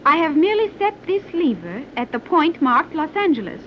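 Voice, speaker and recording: Formal island submarine pilot, old radio. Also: female voice, sits high, sing-song, a little fast. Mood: calm, assured. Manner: formal, precise, stilted phrasing without contractions. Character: coolly confident young woman submarine pilot from hidden advanced island. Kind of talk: 1930s radio serial acting. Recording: dull, noisy old 1930s radio recording.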